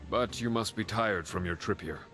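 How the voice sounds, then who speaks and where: An actor playing a courteous village man in a fantasy game, speaking in a measured, formal way. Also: on the low side, a bit bright, faint music behind. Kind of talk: scripted video game dialogue.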